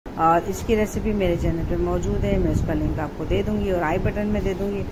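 A woman's voice: on the low side, fairly even intonation, fairly quick, background noise. A woman narrating a home cooking tutorial in a casual, chatty, explanatory way. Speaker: home cook, cooking channel host.

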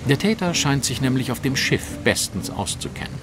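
A man's voice: mid-range voice, sing-song, moderate pace, noisy background, close to an everyday voice. An actor playing a brilliant, self-assured male detective, precise and commanding, in a German audio drama. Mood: analytical, assured.

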